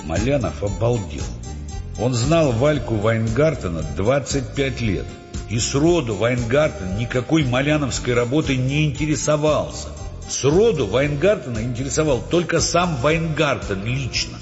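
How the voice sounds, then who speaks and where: A man's voice: medium pitch, expressive, unhurried, lightly acted. An actor as a male storyteller, narrating an audio drama with dry irony. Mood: wry. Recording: dull, long reverb, music bed.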